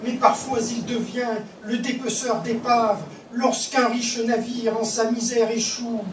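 Woman chanting out epic verse, slightly high voice, echoey and noisy recording. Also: little pitch movement. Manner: measured, incantatory, short phrases. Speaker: poetry reciter. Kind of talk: solemn declamation of epic poetry. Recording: echoey, distant microphone, clear background noise.

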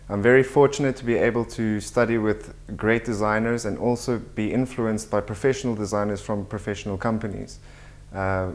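A young man from Africa speaks in a fairly low voice. He gives a student testimonial about his own experience. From South Africa. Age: 20s. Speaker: design student.

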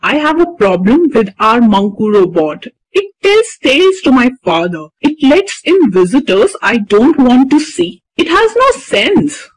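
Young cartoon schoolboy, acted by a woman: high and very expressive, exasperated, full of complaints and excuses. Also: a little slow, few pauses, husky, no echo, heavily acted, rough recording. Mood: complaining.

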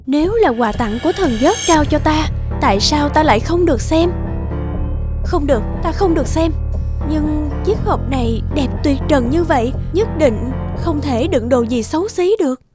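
A woman voicing a sweet, curious young bride in a fairy-tale cartoon, wondering and expressive. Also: sits high, moderate pace, over music. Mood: curious, tempted. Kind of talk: animated fairy-tale dubbing.

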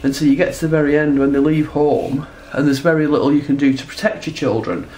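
A woman with a stuffed-up nose talks through a hands-on art demonstration at a table. Her delivery is chatty and unhurried, tossing in little tag questions as she sketches. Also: deep voice, steady tone, mellow, slight background noise, rough recording. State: congested from a cold. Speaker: textile artist demonstrating her process. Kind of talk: casual tutorial narration while drawing. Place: indoors at a table.

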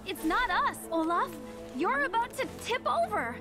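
A young woman playing an earnest, regretful princess searching for her sister in an animated game. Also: very high voice, a little slow, full character voice. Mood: upbeat. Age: young adult. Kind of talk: animated game cutscene acting. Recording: music behind the voice.

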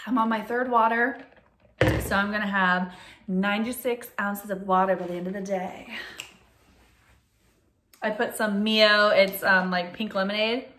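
A woman's voice: medium pitch, fairly even intonation, measured pace, pauses often, a bit bright, a little hiss or hum. A young woman chatting casually to viewers in an upbeat vlog, talking while she eats in her home kitchen. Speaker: vlogger.

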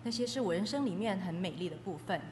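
A woman's voice: medium pitch, lively intonation, fast, darkish tone. A woman interpreting a testimony aloud, phrase by phrase. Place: echoey hall. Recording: echoey hall with faint noise.

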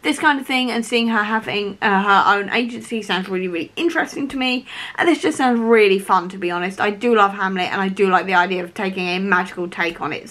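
Young woman, book vlogger, casual to-camera chat, slightly high pitch, voice strained from illness, emphatic repetition. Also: a bit bright. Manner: chatty, repeats "really, really" for emphasis. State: losing her voice, ill. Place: small room, seated on a sofa.

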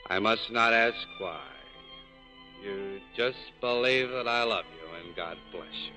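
An actor playing a bitter, sardonic, wounded American cafe owner in a 1940s radio drama. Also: male voice, on the low side, a little slow, pushed and bright. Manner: sardonic, clipped, world-weary. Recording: 1940s radio broadcast, faint music.

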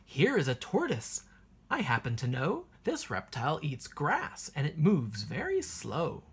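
Female storybook reader: unhurried, sing-song teacher delivery, strongly animated intonation, breathy husky tone. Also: low-pitched. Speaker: teacher reading a children's book aloud. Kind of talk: expressive picture-book read-aloud for young children.

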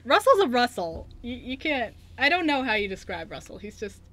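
A woman in casual group chat on a radio show, talking in a giggly, excitable manner. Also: on the high side, normal speed, slight background noise. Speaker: radio show cast member and writer.

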